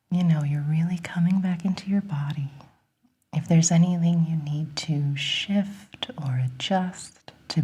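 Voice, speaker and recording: Woman, hushed breathy whisper, low and soft-edged, guided meditation, gentle, soothing. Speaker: guided relaxation host.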